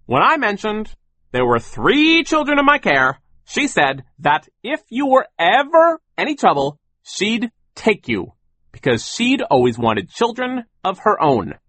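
A man voicing a gruff, impatient male boss in an audiobook story, barking dismissively and emphatically. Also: sits high, huge pitch swings, unhurried, sharp tone, voice pushed up, full character voice.

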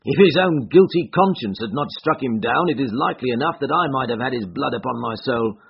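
A man's voice: mid-range voice, lively intonation, fairly quick, creaky, breathy edge, muffled recording, pitched above the performer's own voice, heavily acted. A man acting a bitter, defiant, worn old soldier. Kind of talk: audiobook character voice.

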